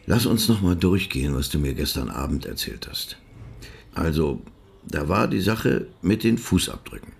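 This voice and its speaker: A radio-play investigator with a low-pitched voice. He is patient and matter-of-fact, probing with short questions and prompts. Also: animated, moderate pace, gravelly, husky, exaggerated voice. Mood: brisk, businesslike.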